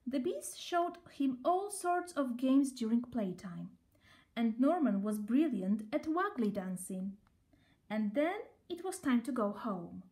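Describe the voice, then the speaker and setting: A woman reading a children's story aloud as an expressive storyteller. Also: fairly high pitch, slow.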